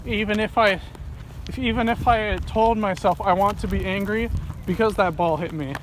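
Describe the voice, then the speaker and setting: A young man with a fairly high voice talks in a searching, halting way, stumbling over repeated words. He is out on a walk, and outdoor noise is clearly heard behind him. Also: poor audio. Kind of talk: casual philosophical chat.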